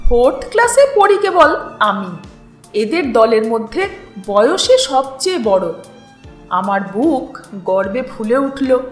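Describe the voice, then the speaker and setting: A woman narrates a literary story. Her voice is high and very animated, and she takes her time with each phrase. She is recorded in an echoey space with music underneath. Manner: unhurried, dramatized reading. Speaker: story reader and channel host. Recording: roomy echo with music underneath.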